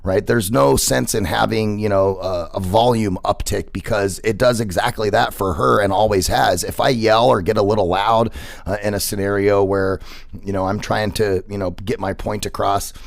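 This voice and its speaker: Big-voiced gravelly host. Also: male voice, fairly low pitch, fairly even intonation, normal speed, no room sound, clean studio sound. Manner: rambling, repeats words and fillers. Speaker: radio show host. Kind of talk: casual interview chat. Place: radio studio.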